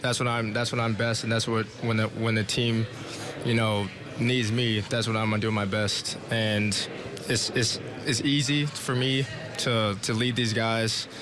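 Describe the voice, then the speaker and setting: A young man, a college basketball player, answering interview questions haltingly with many fillers. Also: on the low side, level tone, a crowd in the background. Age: young adult. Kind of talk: media day interview answers. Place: media day event.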